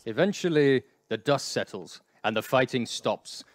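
A man narrating a live radio play in a measured, wry manner. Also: mid-range voice, expressive, very noisy. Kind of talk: scripted narration. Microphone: headset.

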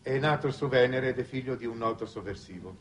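An actor playing a dry government aide giving a briefing in a 1960s television drama. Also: male voice, medium pitch, fairly quick, close to an everyday voice. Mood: matter-of-fact. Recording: noisy old television soundtrack.

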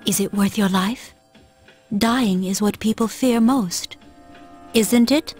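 A woman playing a cold, aloof young female antagonist in a video game, detached and deliberate in delivery. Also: medium pitch, a little mellow, slightly rough, soft background music. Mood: cold, commanding.

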